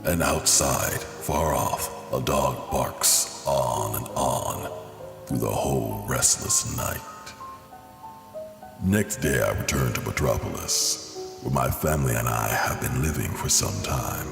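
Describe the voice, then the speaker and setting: A man voicing a somber, haunted psychiatrist narrating his journal for a comic-book drama, measured and brooding, pausing between phrases. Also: a bass voice, gravelly, heavily acted. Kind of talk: dramatic first-person scripted narration. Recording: reverberant echo under music.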